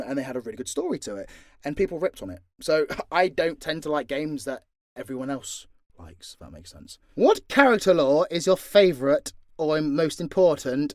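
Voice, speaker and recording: A man's voice: a little high, dry recording. Sweary British man chatting casually, dry sound. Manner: sweary, blunt, informal. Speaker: audio drama creator and voice actor answering fan questions. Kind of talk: casual, unscripted Q&A chat.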